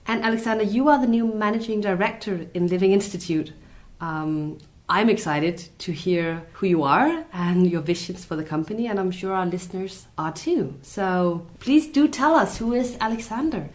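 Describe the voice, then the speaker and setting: A woman's voice: mid-range voice, normal speed. A woman hosting a business podcast, asking questions in a polite, upbeat way. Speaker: podcast host. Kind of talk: scripted podcast interview.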